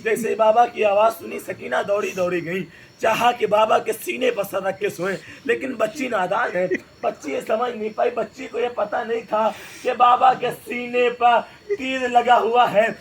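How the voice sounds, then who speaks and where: Very high-voiced man preaching a lament. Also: fairly even intonation, few pauses, slight background noise. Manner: emotional, mournful, addresses the gathering directly. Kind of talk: mourning sermon recitation.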